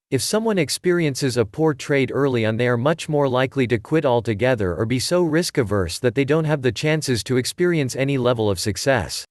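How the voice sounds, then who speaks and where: A man narrating a scripted marketing explainer in a measured, articulate, instructional way. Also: medium pitch, no room sound, clean studio sound. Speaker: voice-over narrator.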